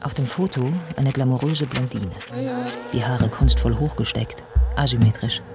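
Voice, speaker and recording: A man's voice: medium pitch, fairly even intonation, moderate pace, vocal fry, over music, close to an everyday voice. A man acting as a cool, observant narrator in a scripted radio drama, setting out details in clipped phrases.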